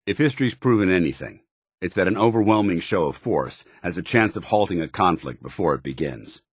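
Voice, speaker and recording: An actor playing a stern, loyal, zealous soldier in a video game, speaking gruffly with earnest, clipped military formality. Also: male voice, deep voice, lively intonation, fairly quick, no echo, clean studio sound. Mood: firm, businesslike. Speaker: companion soldier character.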